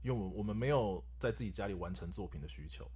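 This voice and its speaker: Man, Taiwanese Mandarin accent, low husky voice, casual podcast chat. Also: fast talker, darkish tone. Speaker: voice actor, podcast guest. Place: recording studio.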